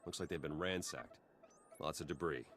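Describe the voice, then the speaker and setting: A man's voice: very deep voice, normal speed, a bit bright, a little hiss or hum. An actor playing a wry male spy and tech agent who talks in a dry, pragmatic way. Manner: dry, pragmatic, matter-of-fact.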